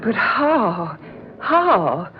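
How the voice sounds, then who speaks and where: A well-bred young lady in a vintage radio chiller, inquisitive and alarmed. She speaks very slowly and deliberately, pausing for drama. The old recording is dull and clearly noisy. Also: medium pitch, big pitch swings. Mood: bewildered.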